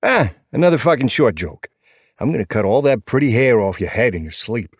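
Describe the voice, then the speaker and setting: A man acts out a pint-sized, hot-headed male biker from an audiobook. He has a thick New York accent, pitched up and husky, and snaps with combative attitude. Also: sing-song, a little fast, no room sound, clean studio sound. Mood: indignant.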